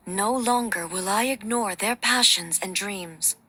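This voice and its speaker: A bright, solemn goddess ruler. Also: female voice, medium pitch, slight background noise. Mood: reflective. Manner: measured, formal, stately delivery. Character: solemn, regal ruler goddess, composed and resolute. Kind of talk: video game voice acting. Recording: studio voice with faint music behind.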